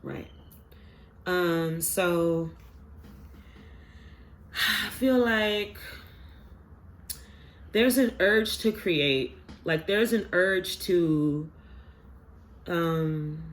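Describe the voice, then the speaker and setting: A man's voice: sits high, drawn-out words, pauses often, fairly bright tone. Rambling stuffy-nosed man reading tarot. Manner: rambling, filler-heavy, earnest. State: stuffy from a sinus infection. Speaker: tarot reader. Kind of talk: casual collective tarot reading.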